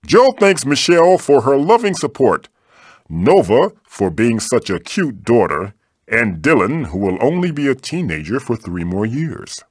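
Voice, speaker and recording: Theatrical, swooping magical mask spirit. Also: male voice, mid-range voice, sing-song, measured pace, husky, no echo, clean studio sound. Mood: wry. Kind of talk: comic video game character voice acting.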